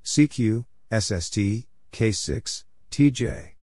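Slow, deliberate man reading practice exchanges. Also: on the low side, mellow, no room sound. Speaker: Morse code practice narrator. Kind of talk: scripted readout of radio exchanges.

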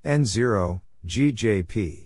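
A man narrating scripted radio exchanges for Morse code practice, reading in a measured way and spelling out call signs letter by letter. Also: fairly low pitch, lively intonation, darkish tone, no room sound, clean studio sound.

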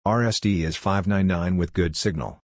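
A man reading out short scripted phrases for a practice drill in a measured, clipped way. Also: low voice, darkish tone. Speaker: narrator of Morse code practice drills. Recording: clear background noise with clicks.

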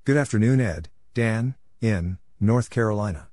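A man reading out call signs and short radio exchanges for code practice in clipped, deliberate, separated phrases. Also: lowish voice, darkish tone. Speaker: Morse code practice narrator.

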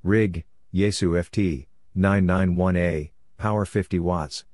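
A man's voice: deep voice, fairly even intonation, unhurried, a little mellow, no echo, clean studio sound. A man reading out scripted radio exchange phrases in short, clipped, telegraphic bursts. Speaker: Morse code practice instructor.

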